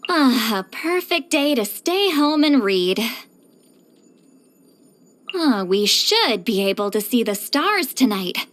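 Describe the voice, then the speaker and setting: A woman's voice: on the high side, very animated, slow, long pauses, a bit bright, full character voice. A woman voicing a proud but easily flustered shaman master in an anime-style video game, with haughty poise that slips into awkward defensiveness. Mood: relaxed.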